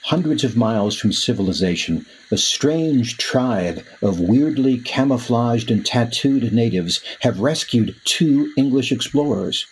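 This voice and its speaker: A man playing an announcer for an old-time radio drama, narrating in a husky, portentous manner. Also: medium pitch, expressive, measured pace, hardly any pauses, a little hiss or hum, low-quality recording. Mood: suspenseful. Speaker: announcer of a radio theater show.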